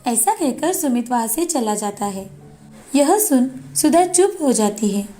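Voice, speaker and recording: A woman narrates a scripted moral story. Her voice is high-pitched. Faint music plays behind the narration. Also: very animated, unhurried, mellow.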